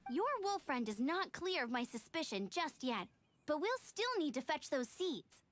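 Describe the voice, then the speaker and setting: A woman playing a bright, curious, bookish young adventurer in a video game, with an animated, explanatory way of talking. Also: high-pitched, moderate pace, slight background noise. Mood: confident.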